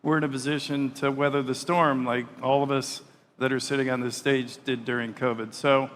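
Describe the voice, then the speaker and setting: A man speaking as a panelist on a stage, measured and given to repeating words as he starts a thought. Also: mid-range voice, little pitch movement, fairly bright tone, slight background noise. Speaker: panelist, hospitality executive. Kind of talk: panel discussion. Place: large conference hall stage. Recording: hall reverb, faint ambience.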